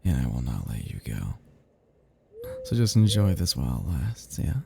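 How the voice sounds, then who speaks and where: A man's voice: rumbling low, wildly swooping pitch, normal speed, vocal fry, a little mellow, slight background noise, heavily acted. A man playing a seductive, possessive vampire in an intimate ASMR roleplay, teasing and menacing, with archaic turns of phrase. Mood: brooding, menacing.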